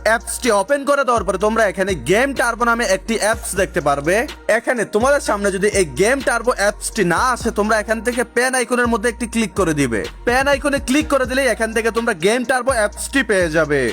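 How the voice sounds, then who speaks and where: A man's voice: very high voice, animated, fast, fairly bright tone. A man narrating a gaming tutorial, speaking directly to viewers in an instructional way. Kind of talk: energetic scripted tutorial narration. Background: music.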